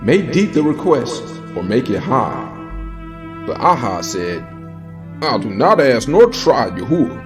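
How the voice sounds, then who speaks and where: A man's voice: medium pitch, very animated, unhurried. A man narrating a dramatized Bible reading in a solemn, declamatory and emphatic manner. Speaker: scripture narrator. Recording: echoing voice over background music. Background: background music.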